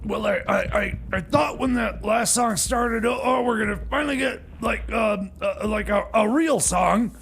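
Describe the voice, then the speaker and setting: Man playing a high-pitched, stammering, flustered radio DJ. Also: creaky, a bit bright, slight background noise. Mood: flustered, bewildered. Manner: halting, stammering, rambling. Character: flustered, grumpy, bumbling man hosting a radio music show. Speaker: radio show host and DJ. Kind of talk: comedic community radio DJ chatter.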